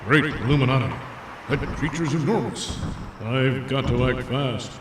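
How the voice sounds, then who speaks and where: A man's voice: medium pitch, normal speed, reverberant, recorded from a distance, noisy background, lightly acted. A man voicing an earnest, grandiose superhero in a declamatory, dramatic manner for a comic audio drama. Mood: urgent resolve.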